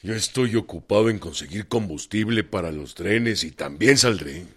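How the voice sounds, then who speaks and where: A man's voice: fairly low pitch, lively intonation, normal speed, a bit bright, slightly rough. A man acting a blunt, decisive Mexican revolutionary general in a radio drama, with a curt, authoritative delivery. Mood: firm, commanding.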